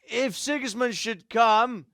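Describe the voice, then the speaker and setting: A man's voice: extremely high pitch, slow pace, fairly bright tone, dry recording, clean studio sound, heavily acted. An actor playing a resolute, embattled medieval nobleman in a video game, formal and urgent as he leads his men. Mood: grave.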